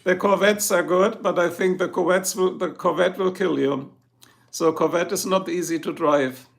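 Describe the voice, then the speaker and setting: A man's voice: high voice, steady tone, normal speed, gravelly. A middle-aged man with a German accent, chatting casually with viewers on his livestream and answering their comments. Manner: chatty, answers viewers one by one, chuckles often. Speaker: livestream host.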